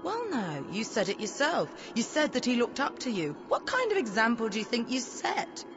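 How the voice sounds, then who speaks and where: A woman's voice: on the high side, wildly swooping pitch, normal speed. A woman acting in an audio drama as a blunt, sardonic afterlife patrol officer with sarcastic, pointed questions. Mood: challenging. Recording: dull lo-fi audio drama recording. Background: background music.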